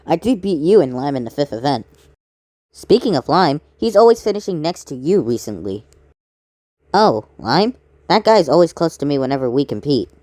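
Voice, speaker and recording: A woman doing amateur voice acting for a fan-made animated cartoon. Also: sits low, no room sound.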